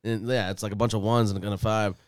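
A man telling a story in casual chat as a co-host, animated and indignant. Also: fairly low pitch, fast, no echo, clean studio sound. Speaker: DJ and podcast co-host.

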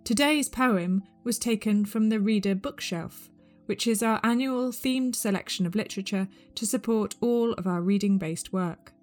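A woman's voice: medium pitch, moderate pace, few pauses, a little mellow. A woman reading a scripted podcast introduction as its host. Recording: music behind the voice. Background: plucked guitar music.

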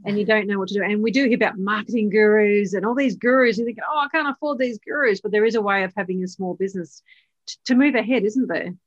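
A woman's voice: mid-range voice, fast, no room sound, dull audio. A woman hosting a podcast, asking encouraging questions and often ending with tag questions. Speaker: podcast host. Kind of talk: scripted intro then casual interview.

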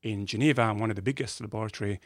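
A man's voice: fairly low pitch, rapid-fire, dry recording. Italian man with a dry, close sound. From northern Italy. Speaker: guest physicist. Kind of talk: podcast interview, explaining science conversationally. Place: podcast studio.

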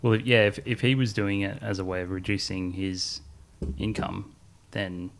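A man with a low, gravelly voice co-hosts a casual games podcast. He rambles through his stories and chuckles often. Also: level tone, moderate pace. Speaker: podcast co-host. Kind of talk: casual chat about games.

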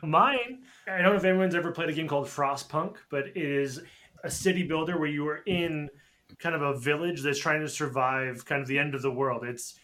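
A man with a bright, forceful voice that sits a little high. He talks casually as a panelist in a group podcast chat. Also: moderate pace.

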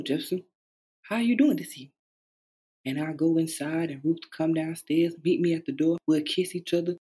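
A working-class father, played by a man shares big dreams with his young son in a stage monologue. His voice is husky and mellow, the delivery earnest, folksy and hopeful. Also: fairly high pitch, low-quality recording. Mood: tender, hopeful. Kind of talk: dramatic stage monologue reading.

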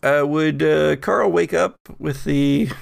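A man narrating a tabletop horror game as game master, in a halting, thoughtful way with pauses. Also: fairly high pitch, creaky, no room sound, clean studio sound.

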